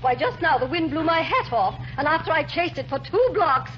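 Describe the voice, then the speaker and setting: An actress playing a snooty, easily flustered society matron with affected speech in an old radio comedy. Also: female voice, high-pitched, animated, a little fast. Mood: fluttery. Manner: affected, flustered, stammering grandly. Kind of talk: 1940s radio comedy acting. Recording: dull, noisy 1940 radio recording.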